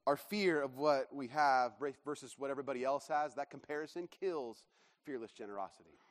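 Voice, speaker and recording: A man preaching a sermon as a pastor, enthusiastic and quick to break into laughter. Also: medium pitch. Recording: long reverb of a large hall.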